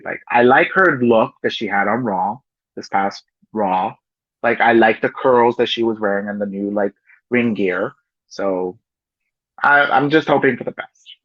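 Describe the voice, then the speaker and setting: A man's voice: on the low side, normal speed, a bit bright, no room sound. Laid-back guy chatting on a podcast, lots of "like" and "you know", muffled dry lo-fi audio. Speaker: podcast co-host. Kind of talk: casual chat.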